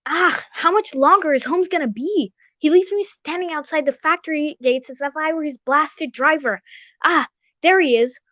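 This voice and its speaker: A woman playing a loyal, easily indignant gentleman sidekick in a radio drama, exclaiming in an old-fashioned, blustering way. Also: sits high, normal speed. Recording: dull, roomy recording.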